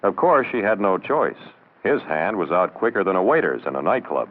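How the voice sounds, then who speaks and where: A man's voice: low-pitched, big pitch swings, normal speed. A man narrating a 1960s instructional film in a wry, sardonic and instructive manner. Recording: muffled old film soundtrack, music behind.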